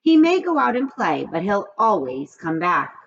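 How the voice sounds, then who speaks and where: A woman's voice: mid-range voice, big pitch swings, moderate pace, creaky, lo-fi sound. A woman voicing a patient woman schoolteacher from a children's storybook, reassuring and coaxing.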